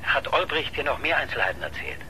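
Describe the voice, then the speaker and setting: An actor playing a nosy, sceptical newspaper reporter who needles in a familiar way, in a radio crime drama. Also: male voice, mid-range voice, sing-song, fast talker, pushed and bright, slight background noise, poor audio. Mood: needling, sceptical.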